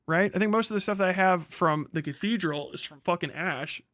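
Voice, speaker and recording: Guy livestreaming a reaction, kinda high voice, dry close sound, sweary and sarcastic. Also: a little fast, dry recording. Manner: exasperated, sarcastic, animated. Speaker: livestream host reacting to a recorded conversation. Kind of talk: casual reaction commentary, heavy swearing.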